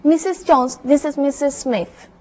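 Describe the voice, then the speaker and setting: A woman with a high voice works through short practice phrases in a classroom role play. She speaks carefully, one line at a time. The recording is dull and lo-fi. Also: a little slow, darkish tone. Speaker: language student.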